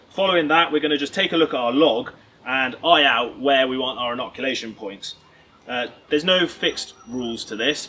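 Bright upbeat British man teaching. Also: medium pitch, slight background noise. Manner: upbeat, clear instructional delivery. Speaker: presenter. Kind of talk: step-by-step tutorial. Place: outdoors in a garden and woods.

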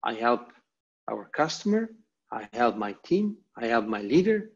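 Slow-paced Italian man giving a lecture. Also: mid-range voice, sing-song, husky. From Italy. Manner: emphatic repetition in short phrases. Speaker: guest presenter. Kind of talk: motivational online lecture with slides. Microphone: video call. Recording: dull, lo-fi video call audio.

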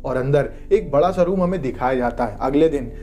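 A man's voice: medium pitch, a little fast, warm tone. A man narrating a movie recap from a script, with music behind him. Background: background music.

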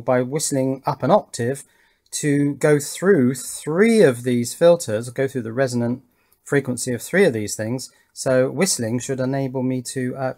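A man's voice: medium pitch, darkish tone. Man casually talking through hands-on work. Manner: thinking aloud while working, with tag questions. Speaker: host of an electronics build channel. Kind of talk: casual hands-on demonstration commentary. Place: indoors at a wooden workbench.

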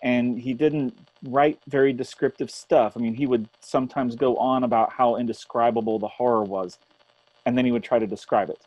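A man's voice: medium pitch, fairly even intonation, moderate pace, a little mellow, no room sound, lightly acted, poor audio. Guy playing thoughtful earnest survivor radio host, middle-aged, dry close mic sound. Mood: reflective. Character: earnest, thoughtful male radio host after zombie apocalypse. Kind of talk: radio drama acting. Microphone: close studio mic on boom arm.

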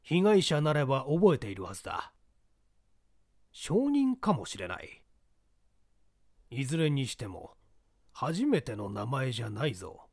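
A man voicing a puzzled police detective chief muttering gruffly to himself in a mystery audiobook. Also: medium pitch, very animated, measured pace, pauses often, exaggerated voice. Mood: puzzled, brooding.

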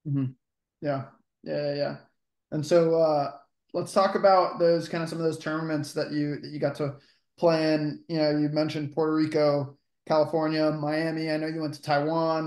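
A man's voice: a little high, darkish tone. Male podcast co-host, upbeat intro and casual questions, echoey room sound. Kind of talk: podcast hosting, read intro then questions.

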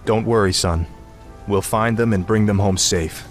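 A man acts a young warrior prince in a fantasy game. His voice is low yet youthful, confident, earnest and resolute, with faint music underneath. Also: unhurried, natural voice. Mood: bold.

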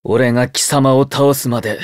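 An actor playing an earnest, protective young demon martial artist in an anime game, solemn and formal. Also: male voice, mid-range voice, fairly even intonation, normal speed, dry recording, studio quality, natural voice.

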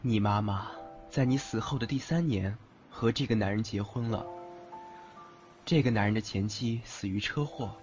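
A man's voice: mid-range voice, highs cut off, natural voice. A man acting in an audio drama as a brash but doting, teasing teenage schoolboy. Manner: teasing, affectionate. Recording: band-limited, background music throughout.

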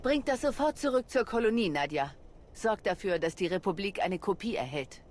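A woman playing a steady Republic hero in a video game, firm and composed. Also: medium pitch, normal speed, a little hiss or hum, close to an everyday voice. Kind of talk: dubbed video game cutscene acting.

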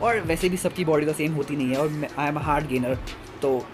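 A man's voice: fairly high pitch, normal speed, over music. A young man chatting casually to viewers in a vlog, upbeat and talking straight to them, filmed on a phone held at arm's length. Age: young adult. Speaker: vlogger. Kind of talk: casual vlog chat to camera. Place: home room, indoors.

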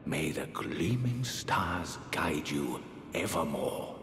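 Fantasy game casting: eerie, genteel high priest, older, unhurried and breathy, grandiloquent, set in echoing reverb with faint rumble. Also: male voice, expressive, over music, full character voice. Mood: grandly gracious. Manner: theatrical, archaic, drawn-out phrasing. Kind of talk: dramatic fantasy game character dialogue. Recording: echoey hall reverb, faint rumbling noise.

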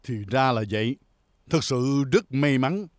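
A man voicing a solemn veteran robot warrior in a cartoon dub, telling things in a measured, formal way. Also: mid-range voice, expressive, lightly acted. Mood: solemn, nostalgic. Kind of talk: Vietnamese cartoon dubbing.

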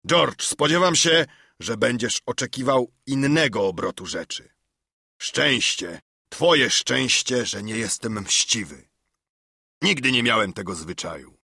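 Casting: a man playing a loud, scornful old pirate boss, lively and husky, for a storybook reading. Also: mid-range voice, measured pace, no room sound, clean studio sound, full character voice. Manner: mocking, threatening, loud. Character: cunning, domineering older male pirate leader.

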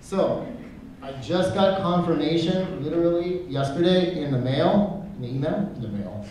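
Energetic young man hosting a panel. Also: on the high side, slight background noise. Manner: energetic, brisk, hands off to speakers. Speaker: panel host. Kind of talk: convention panel talk. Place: large convention hall. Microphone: table mic. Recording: echoey hall, taped from far off.

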